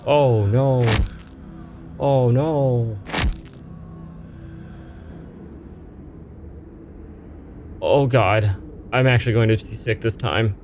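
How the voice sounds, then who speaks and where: A man's voice: mid-range voice, slow, background noise. A man voicing an irritable, sarcastic young woman in a game, snappy and exasperated. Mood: queasy dread.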